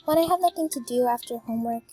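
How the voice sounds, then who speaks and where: A teenage girl chatting casually while she plays a game, high-pitched and bubbly, with lots of ums. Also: fast, warm tone, soft background music. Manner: bubbly, full of filler ums, exclaims at game fails. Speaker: gaming YouTuber. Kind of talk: casual voice-reveal chat while gaming.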